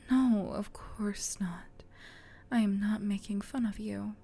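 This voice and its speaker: A woman voicing a gentle, caring sleep paralysis demon in a soft, breathy, reassuring whisper for an ASMR roleplay. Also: medium pitch, fairly even intonation. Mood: tender, soothing. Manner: tender, reassuring, unhurried.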